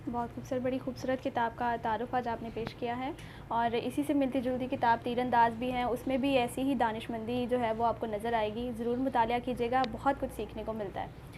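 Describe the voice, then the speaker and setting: Brisk, high-voiced Pakistani woman host. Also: flat delivery, darkish tone, poor audio. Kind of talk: polite formal programme hosting. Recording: noticeable background noise.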